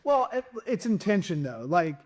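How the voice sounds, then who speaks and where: A middle-aged man hosts a casual podcast conversation, his voice sitting a little high for a man. He rambles through stories and jokes, restarting his sentences often. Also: very animated, moderate pace. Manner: rambling, joking, lots of restarts. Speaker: podcast host and stand-up comic. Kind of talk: casual interview chat.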